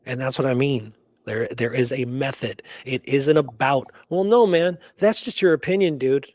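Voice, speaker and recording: A man's voice: mid-range voice, normal speed, darkish tone, dry recording, clean studio sound. A middle-aged American man chatting casually and emphatically about his opinions, in colloquial language with the odd swear word. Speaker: podcast co-host, history researcher and author. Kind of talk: casual opinionated history chat.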